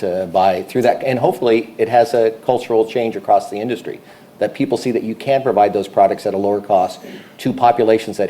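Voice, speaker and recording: Dark-voiced, deliberate male panelist. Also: fairly low pitch, slight background noise. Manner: measured, hesitant, many fillers. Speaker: head of a state savings program, panelist. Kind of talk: unscripted panel answer. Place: conference room, before an audience.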